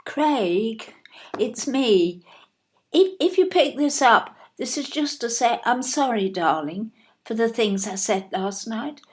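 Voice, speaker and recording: A woman's voice: a little high, expressive, slow. An actress playing an anxious, tender, emotionally fragile English mother, hesitant and pleading, in an audio play. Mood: apologetic, pleading.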